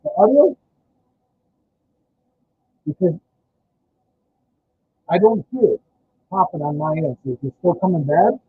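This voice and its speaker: A man's voice: high voice, sing-song, measured pace, pauses often, breathy edge. A man with a Chicago accent hosting a casual livestream, rambling with stammered restarts. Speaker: livestream host. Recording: muffled lo-fi stream audio with faint noise.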